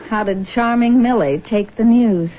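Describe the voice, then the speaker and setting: An actress playing a concerned, perceptive American daughter in a 1970s radio drama, with a probing, measured manner. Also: female voice, a little high, lightly acted. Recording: dull vintage radio recording.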